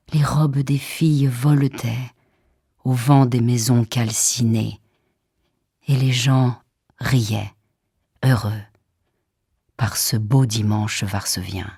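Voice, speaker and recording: Slow, husky woman reciting poetry, low voice. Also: no echo, clean studio sound. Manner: measured, expressive, with deliberate pauses and hushed, breathy phrases. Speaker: poetry reader. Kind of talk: solemn literary poetry recitation for audiobook. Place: radio theatre studio. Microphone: close studio microphone.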